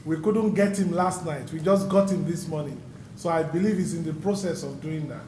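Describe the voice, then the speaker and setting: A man's voice: a little fast, music in the background. A man answering a board's questions at a city hearing, explaining earnestly. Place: city council chamber. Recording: echoey room with faint rumble.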